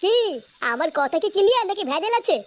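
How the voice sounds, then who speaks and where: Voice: very high voice, expressive, fast talker, over music. A performer voicing an earnest, coarse-mouthed young village ringleader in a comic cartoon dub. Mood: scheming, bossy.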